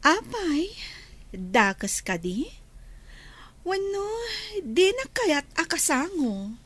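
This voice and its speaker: High-pitched, flirtatious Ilocano temptress. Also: female voice, very animated, a bit bright, exaggerated voice. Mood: coaxing, pouty. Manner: coquettish, coaxing, drawn-out delivery. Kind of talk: Filipino radio drama acting.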